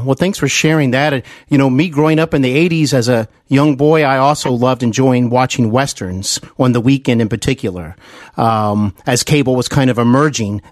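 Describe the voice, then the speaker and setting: A man's voice: mid-range voice, no echo, clean studio sound. A man in his forties hosting a podcast interview in a conversational style. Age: 40s.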